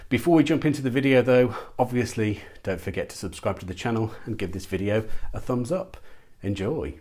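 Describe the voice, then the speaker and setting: A man's voice: medium pitch, fairly quick, a little mellow. A man presenting and interviewing as a channel host in a casual, enthusiastic style.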